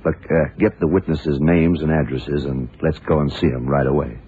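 An actor playing a shrewd, dogged FBI investigator in a radio crime drama, terse and deliberate. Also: male voice, very deep voice, fairly even intonation, mellow, soft background music. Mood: intent. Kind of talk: 1960s radio drama acting. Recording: muffled, noisy old radio broadcast recording.

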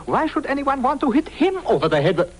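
An actor playing a bewildered, stammering apartment tenant in a vintage radio drama, rambling and halting. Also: male voice, extremely high pitch, very animated, exaggerated voice. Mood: nervous, musing. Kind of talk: 1950s radio drama acting. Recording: noisy old radio recording.

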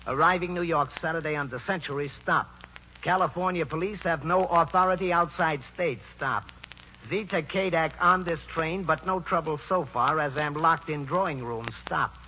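Bright, brash old-time theatrical manager. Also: male voice, fairly high pitch, normal speed. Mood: brisk, blunt. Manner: colloquial, emphatic, blunt. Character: brash, genial, folksy older theatrical manager. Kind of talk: 1940s radio acting. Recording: dull, noisy 1940s radio recording.

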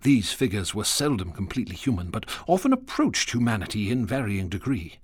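A man narrating a radio drama in a grave, measured reading. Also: lowish voice, wildly swooping pitch, breathy edge, dry recording, clean studio sound. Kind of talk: scripted narration.

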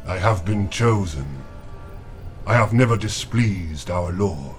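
A devout keeper of a dark order speaks with slow, stern conviction. His voice sits low. Music drifts underneath. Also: expressive. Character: zealous male demon keeper, stern and devout.

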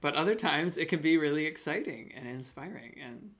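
A man's voice: fairly high pitch, lively intonation, a little fast, a bit bright. A man with an American accent hosting an arts interview podcast in a conversational presenter's style. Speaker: podcast host. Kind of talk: scripted intro and casual interview.

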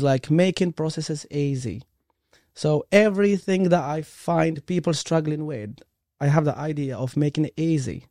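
Higher-voiced Moroccan man, slightly creaky. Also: warm tone, no echo, clean studio sound. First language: Moroccan Arabic. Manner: earnest, frequent "like" and "you know". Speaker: interview guest, performance coach. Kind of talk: casual personal storytelling in an interview.

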